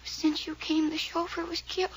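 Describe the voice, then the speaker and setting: An actress in a 1940s radio drama playing a frightened, eerie young woman who talks haltingly and often whispers. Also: high voice, flat delivery, close to an everyday voice, poor audio. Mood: haunted. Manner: halting, dreamy, fearful.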